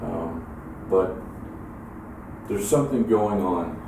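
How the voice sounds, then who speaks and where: Deep-voiced man in a very echoey room. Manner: halting, measured, technical. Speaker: academic expert giving an opinion. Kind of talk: unscripted expert explanation. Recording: echoey room, distant mic, clear noise.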